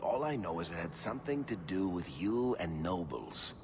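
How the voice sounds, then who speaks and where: An actor playing a wry, roguish storyteller in a video game, sardonic and theatrical in delivery. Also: male voice, deep voice, big pitch swings, normal speed. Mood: bantering. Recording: long hall reverb, music underneath.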